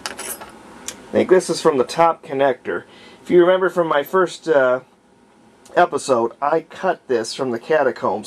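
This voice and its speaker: A man narrating his repair of an old radio in a halting, casual way, thinking aloud as he works. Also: mid-range voice. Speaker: radio restorer.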